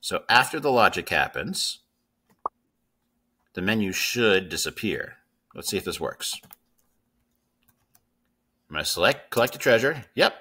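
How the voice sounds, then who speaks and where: A man in his thirties with a low-pitched, bright and forward voice walks learners through a coding tutorial. He explains each step informally, checking in with short tag questions as he goes. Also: sing-song, a little slow, pauses often, a little hiss or hum. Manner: informal, instructive, checks in with "right?" and "okay?". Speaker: coding teacher. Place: indoors at a computer.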